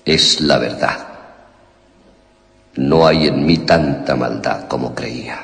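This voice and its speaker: Un actor con acento castellano interpreta en un radioteatro de suspenso a un científico padre de familia, implacable y atormentado, de tono teatral y autoritario. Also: male voice, a bass voice, takes it slowly, gravelly, a bit bright, full character voice. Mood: insistent, menacing. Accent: Castilian Spanish. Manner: theatrical, authoritative. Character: ruthless, tormented scientist father justifying monstrous experiments. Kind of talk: suspense radio drama acting. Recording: long reverberation, music underneath.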